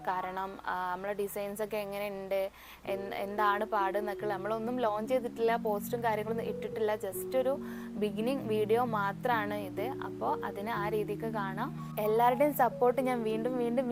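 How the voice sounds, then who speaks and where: A woman vlogger chats informally to her viewers, mixing in English words as she announces her small business. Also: medium pitch. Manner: chatty, informal, addressing viewers directly with English words mixed in. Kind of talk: casual vlog announcement to viewers. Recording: clear music playing behind voice.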